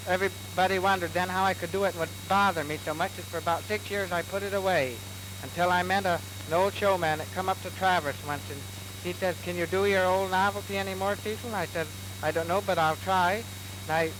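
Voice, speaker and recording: A plain-spoken, folksy man telling an anecdote in a 1930s newsreel interview. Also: high-pitched. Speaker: novelty musical performer. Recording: noisy, humming 1930s newsreel film soundtrack.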